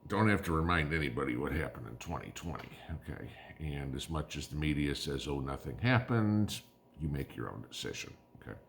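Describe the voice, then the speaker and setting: A hoarse man in his fifties, a prepping channel host, talking bluntly in a casual opinion monologue and often tagging "okay?". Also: very deep voice, lively intonation, brisk, slight background noise. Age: 50s. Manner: blunt, combative, repeats "okay?".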